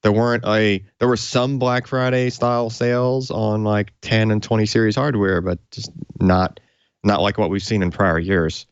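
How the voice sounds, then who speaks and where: A man's voice: lowish voice, fairly even intonation, moderate pace, smooth, no echo, muffled recording. A man co-hosting a tech podcast, giving casual commentary in a rambling, conversational way. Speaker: podcast co-host.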